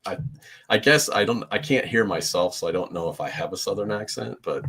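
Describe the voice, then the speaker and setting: A man from Iowa, a guest reseller in a casual chat, easygoing and halting, laughing often. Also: low voice, expressive, a bit bright, slightly rough.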